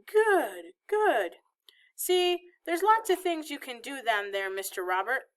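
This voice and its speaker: A woman's voice: high-pitched, sing-song, takes it slowly, pitched above the performer's own voice, heavily acted. A woman voicing a feisty, bossy, affectionate old Southern grandmother in a storybook reading, with a folksy, exclaiming manner. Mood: tender, approving. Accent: Southern American. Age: elderly. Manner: folksy, scolding, loudly exclaiming.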